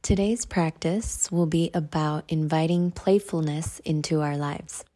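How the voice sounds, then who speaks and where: A woman leading a guided meditation in a measured, instructional way. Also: low voice, steady tone, mellow.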